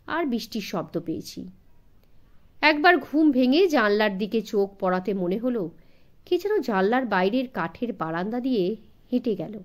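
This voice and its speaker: Female audiobook narrator, fairly high voice, very animated pitch, dramatic scripted storytelling for a young audience.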